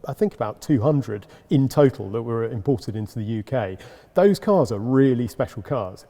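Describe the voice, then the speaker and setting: A man, a price-guide editor, answering questions in a relaxed, measured way while thinking aloud. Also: mid-range voice. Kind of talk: interview answer. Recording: echoey room with a long reverb.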